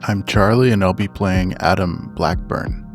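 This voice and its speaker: Man, low-pitched voice, role-playing game player, casual character narration, faint music bed. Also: a little slow.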